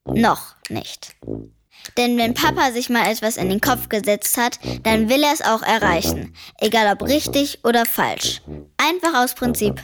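A woman's voice: fairly high pitch, big pitch swings, a little slow, a little hiss or hum. A woman playing a clever, defiant ten-year-old girl in a children's radio play, dry and wordy as she tells the story. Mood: wry, dry. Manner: dry, wry, precociously wordy. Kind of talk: German children's radio play narration and dialogue.

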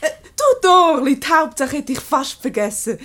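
A high, swooping female voice with a Swiss German accent. a mischievous wife in a radio comedy, breezily taking command and teasing as she goes. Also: lively intonation, moderate pace, fairly bright tone. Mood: breezy, mischievous. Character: brisk, mischievous wife steering her flustered husband. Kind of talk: radio comedy acting in dialect.

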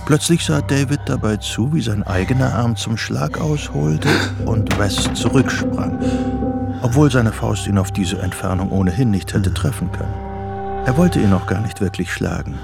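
A man's voice: on the low side, moderate pace, creaky, over loud music. A man narrating a German radio play in a scripted, literary style.

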